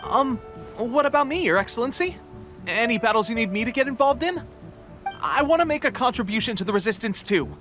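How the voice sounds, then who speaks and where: A boyish young soldier in a video game, pitched high and bouncing up and down. He is eager, brash and ambitious, with music behind him. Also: fairly quick. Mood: hopeful. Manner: excitable, brash, earnest.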